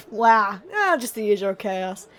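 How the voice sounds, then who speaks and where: A young woman playing a cheeky, chaotic prankster muse in a fantasy audio drama, loud and slangy, with sudden excited jumps in pitch. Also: slow. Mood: startled. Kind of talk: fantasy audio roleplay acting.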